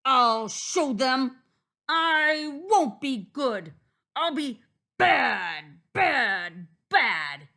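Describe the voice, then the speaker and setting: A woman's voice: a little high, huge pitch swings, takes it slowly, a bit bright, pitched above the performer's own voice, heavily acted. A woman voicing a blustering, easily hurt male wolf in a children's story, speaking emphatically. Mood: angry, defiant. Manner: emphatic, exclaiming, polite or roaring.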